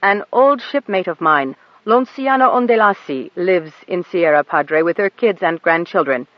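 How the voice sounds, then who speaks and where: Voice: medium pitch, expressive, normal speed, no room sound, dull audio, natural voice. An audiobook narrator voicing a kind, blunt airship pilot with a folksy way of talking. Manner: warm, folksy, drops g's.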